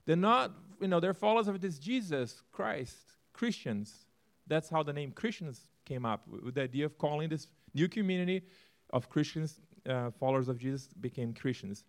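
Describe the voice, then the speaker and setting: A man from Brazil with a Brazilian Portuguese accent, presenting his church-plant vision to a congregation with earnest, animated talk and some self-deprecating humor. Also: a little high, moderate pace.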